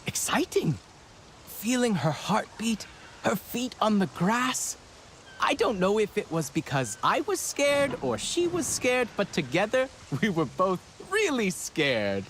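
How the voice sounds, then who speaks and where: A nervous, eager young scavenger in a game. His pitch jumps about as he chatters hesitantly and corrects himself. Faint noise is behind him. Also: unhurried, full character voice. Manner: hesitant, self-correcting, animated. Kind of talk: naturalistic game dialogue.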